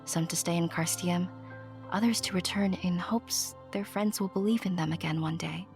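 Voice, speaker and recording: A woman giving scripted fairy-tale narration, addressing the listener with deliberate dramatic pauses. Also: on the low side, fairly even intonation, a little mellow. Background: music.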